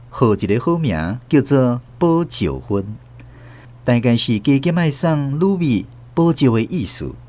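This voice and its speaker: A man's voice: mid-range voice, darkish tone. A man with a Taiwanese accent narrating a radio drama in the first person, as its host and storyteller.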